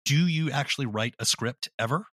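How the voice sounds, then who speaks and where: A man interviewing guests in a conversational, measured and inquisitive manner. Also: mid-range voice, fairly bright tone, dry recording, studio quality.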